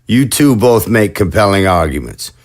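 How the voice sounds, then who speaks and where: A man playing a measured, commanding leader. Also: on the low side, very animated. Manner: measured, authoritative. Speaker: head of state giving orders.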